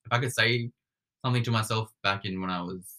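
A man's voice: lowish voice, fairly even intonation, quick pace, sharp tone. A young man in his twenties co-hosting a casual podcast chat, rambling and self-deprecating, often trailing off mid-thought. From Nepal. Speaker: podcast co-host.

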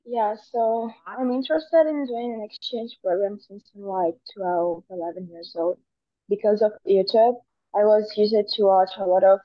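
A woman's voice: mid-range voice, no echo, lo-fi sound. A young woman from Brazil with a Brazilian Portuguese accent, an exchange student giving casual interview answers. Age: teens to young adult.

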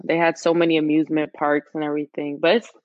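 Low-voiced woman chatting casually. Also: level tone, quick pace, no echo, highs cut off. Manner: informal, chatty. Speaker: podcast guest. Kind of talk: casual conversation.

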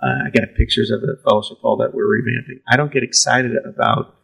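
Man, pastor, raspy, gravelly, conversational storytelling, self-deprecating. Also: mid-range voice, flat delivery, fast talker, fairly bright tone, low-quality recording. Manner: self-deprecating, laughs easily.

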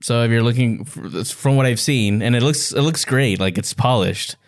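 A man chatting casually as a podcast co-host, in hesitant, halting phrases. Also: medium pitch, no echo, clean studio sound. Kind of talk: casual chat.

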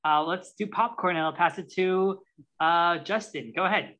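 A man's voice: sits high, moderate pace, sharp tone. A man in his twenties from Ohio, talking as a podcast guest in an eager, chatty way with frequent restarts. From Ohio, United States. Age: 20s. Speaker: guest, youth caucus chair. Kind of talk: casual interview talk.

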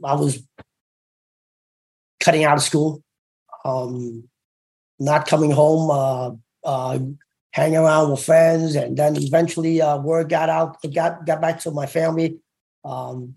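Gravelly-voiced man telling his life story. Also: fairly high pitch, steady tone, a little mellow, rough recording. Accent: Cantonese-tinged New York English. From Brooklyn, New York. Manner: halting, many fillers and restarts. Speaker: interview guest, former gang member. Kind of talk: candid interview storytelling.

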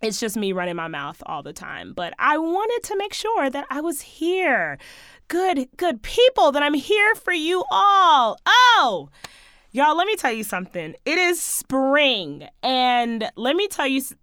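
Female podcast host, a touch hoarse, sassy and chatty, higher voice, dry close sound, faint music underneath. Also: very animated, measured pace, sharp tone, no echo. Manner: sassy, chatty, playful asides to listeners. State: slightly hoarse, losing her voice. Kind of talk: casual solo podcast chat.

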